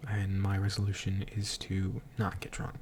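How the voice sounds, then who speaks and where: A slightly tipsy man recording a confessional audio diary, speaking in a halting, hushed, introspective way that often trails into a whisper. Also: deep voice, monotone, a little hiss or hum. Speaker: audio diarist.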